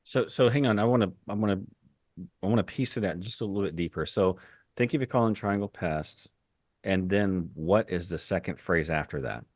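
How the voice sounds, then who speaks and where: A man's voice: deep voice, moderate pace, no room sound, studio quality. A middle-aged American man interviewing a guest conversationally as a podcast host. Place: indoor office on a video call.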